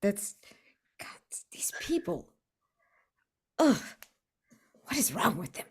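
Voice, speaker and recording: A woman's voice: medium pitch, big pitch swings, takes it slowly, a little mellow, husky, whispered. A woman playing a tabletop roleplaying game, improvising in character with a dry, exasperated manner, trailing off.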